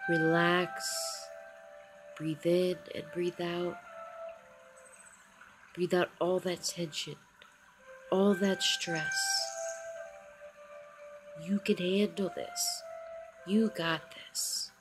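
A woman with a slightly low voice leads a guided meditation over music. She speaks very slowly and soothingly, leaving long gaps between short, encouraging phrases. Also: little pitch movement. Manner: encouraging affirmations. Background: background music.

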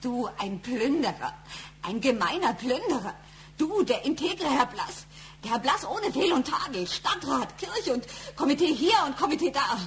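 A long-suffering, loyal wife in a radio crime drama. Her voice is on the high side, fervent and wounded. Some noise sits behind it. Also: sing-song, moderate pace. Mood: accusing.

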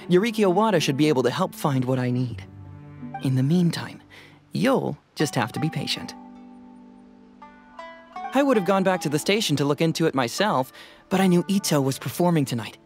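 An actor playing an easygoing young male detective in a video game, speaking in a relaxed, wry way. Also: fairly high pitch, vocal fry. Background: game music.

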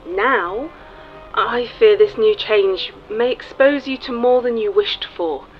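An actress playing a grave, foreboding seer in an audio drama, solemn and formal. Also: female voice, fairly high pitch, faint music behind. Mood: grave concern. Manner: solemn, measured. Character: grave, foreboding female seer advising a leader. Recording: dull lo-fi audio, faint noise.

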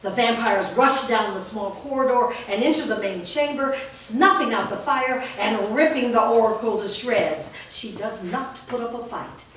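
A woman reads scripted stage directions in a measured, descriptive way. She sounds far from the microphone in a very echoey room, with faint noise behind her voice. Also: fairly high pitch, fairly bright tone. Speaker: narrator reading stage directions. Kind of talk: scripted narration at a staged reading. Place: large bare room with small audience, indoors. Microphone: distant camera microphone.

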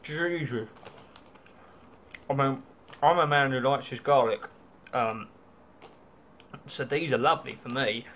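A man's voice: medium pitch, takes it slowly, pauses often, fairly bright tone. A young British man in a casual food-tasting vlog, chatty and wry, with many ums and restarts, filmed in a small hotel room. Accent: British English. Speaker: travel vlogger.

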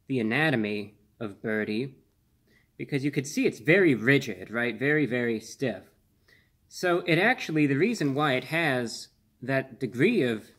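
A man in his twenties hosting a casual food review on camera, speaking in an earnest, measured way with slightly formal phrasing. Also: mid-range voice, fairly bright tone. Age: 20s. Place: small indoor room.

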